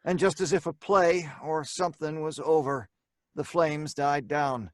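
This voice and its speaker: An actor as an anxious crook in an old-time radio play, both pleading and narrating. His voice is fairly high, urgent and earnest, recorded dry. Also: steady tone, unhurried, dry recording, lightly acted. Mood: grave narration. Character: anxious, pleading male robber telling his tale.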